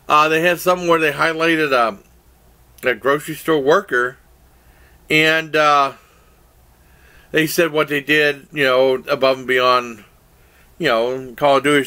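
A man's voice: medium pitch, sharp tone. An older man casually reviewing a game show to his webcam in a small room, rambling with many fillers and restarts. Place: small room indoors, plain wall. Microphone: webcam at desk.

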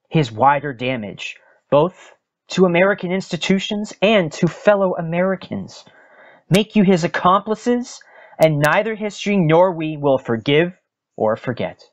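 A man hosting a podcast, giving a dramatic, solemn reading of an opinion article with emphatic, deliberate pauses. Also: on the high side, lo-fi sound. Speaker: podcast host.

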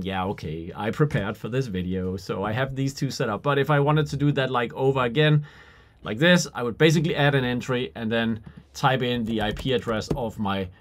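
A man in his forties giving an unscripted software tutorial, thinking aloud and halting as he demonstrates. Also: mid-range voice. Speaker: product demonstrator.

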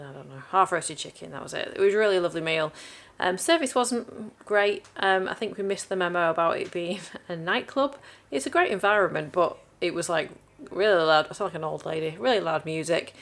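A woman's voice: fairly low pitch, fairly bright tone. A woman in her thirties with a British accent, vlogging in a chatty, informal way to a handheld camera in a hotel room. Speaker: family travel vlogger. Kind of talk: casual vlog chat to camera. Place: small hotel room and bathroom. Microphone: handheld camera at arm's length.

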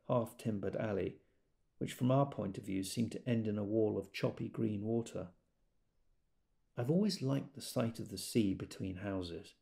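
A man's voice: fairly low pitch, gravelly, mellow. An actor playing a rueful, self-doubting everyman who tells his story in a wry, confiding, fussily precise way, as in an audio drama. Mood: reflective. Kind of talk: dramatic monologue, audiobook-style first-person storytelling.

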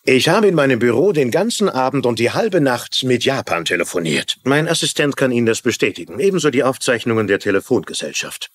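A man's voice: medium pitch, big pitch swings, brisk, hardly any pauses, no echo. A man playing a curt, dismissive business tycoon in a dubbed TV crime drama, formal and brusque.

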